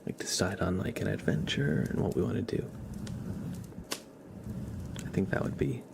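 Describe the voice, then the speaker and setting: A man hosting an ASMR livestream, chatting casually and softly, often slipping toward a whisper, with noise behind him. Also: low voice, expressive, moderate pace. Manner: soft-spoken, often drops to a whisper. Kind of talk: soft casual ASMR chat. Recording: clear background noise on livestream.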